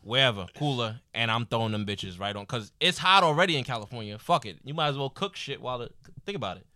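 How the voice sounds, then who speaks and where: A man's voice: mid-range voice, lively intonation, fast talker, pushed and bright, no echo, clean studio sound. Drawling young man, teasing and crude. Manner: drawling, teasing, swears freely. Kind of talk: casual podcast banter.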